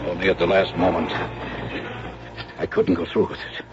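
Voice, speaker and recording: An actor playing a scheming, jealous American talent agent with a tough, hard-edged way of talking in a radio drama. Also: male voice, deep voice, level tone, moderate pace, close to an everyday voice. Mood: cold, confessional. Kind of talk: radio drama acting with confessional narration. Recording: muffled radio drama recording with music.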